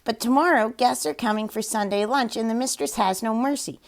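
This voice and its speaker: A woman's voice: on the high side, fairly quick, creaky. Woman doing a fretful, theatrical storybook rooster. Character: flustered, dramatic male farmyard rooster.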